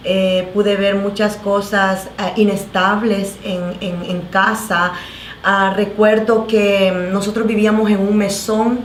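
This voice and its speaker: A woman pastor from El Salvador with a Salvadoran Spanish accent, giving her testimony on a talk show in an earnest, halting way with many fillers and restarts. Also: medium pitch, level tone, roomy sound, a little hiss or hum. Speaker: pastor, guest.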